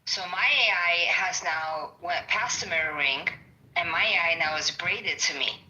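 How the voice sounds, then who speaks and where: A woman from New York with a New York accent, chatting in an excited, rambling way and swearing casually. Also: lowish voice, measured pace, dull audio. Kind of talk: casual chat.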